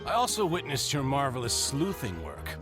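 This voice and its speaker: Over background music, a genteel elderly gentleman for a video game, kindly and courteous. His pitch moves in lively swings, and his delivery is polite and measured. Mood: genial, courteous. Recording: music under the voice.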